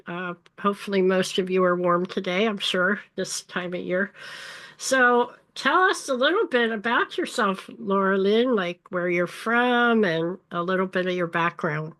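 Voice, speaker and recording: A woman's voice: medium pitch, measured pace, a bit bright. A woman hosting a faith-based podcast who talks in a friendly way. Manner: friendly, faith-centered chat. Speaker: podcast host. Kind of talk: podcast interview.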